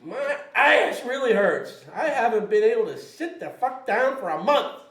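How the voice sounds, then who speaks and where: A man's voice: extremely high pitch, big pitch swings, normal speed, a bit bright, exaggerated voice. A man playing a cantankerous, foul-mouthed Canadian bait shop owner in a comedic table read. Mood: grumbling. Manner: profane, cantankerous, barking insults. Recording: echoey room, distant from mic.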